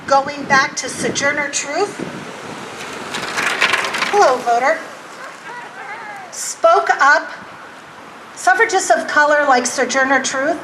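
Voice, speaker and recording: Loud, high-voiced woman at an outdoor march. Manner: loud, animated speaking voice. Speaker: playwright and director speaking at a rally. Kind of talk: public speech. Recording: distant mic with loud outdoor noise.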